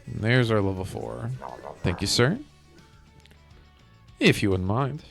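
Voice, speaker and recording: A man streaming a video game, chatting casually and rambling as he thinks out loud. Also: lowish voice, animated, takes it slowly, vocal fry. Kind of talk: casual live gameplay commentary. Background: game music.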